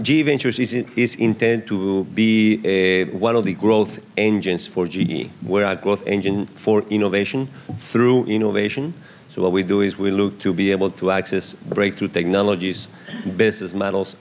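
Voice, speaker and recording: Colombian-accented man on a panel. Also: low-pitched. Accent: Colombian Spanish. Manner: measured, explanatory, restarts phrases. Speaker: venture investor panelist. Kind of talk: conference panel remarks. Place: large conference hall stage. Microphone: handheld microphone.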